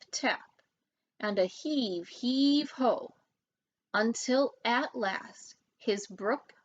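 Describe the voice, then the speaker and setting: A woman reading a children's story aloud as an expressive narrator. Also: on the high side, highs cut off. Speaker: storybook reader.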